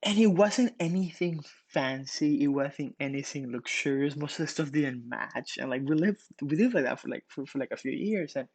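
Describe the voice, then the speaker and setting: A man's voice: a little high, lively intonation, normal speed, hardly any pauses, darkish tone, husky, no room sound. Casual young man giving a devotional. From Ecuador. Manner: casual, many fillers and restarts. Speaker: pastor. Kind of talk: morning devotional.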